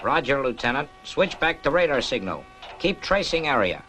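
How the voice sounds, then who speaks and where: An actor playing an exasperated, brusque space base commander in a 1960s puppet series. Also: male voice, mid-range voice, big pitch swings, normal speed, pushed and bright, faint music behind. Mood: businesslike.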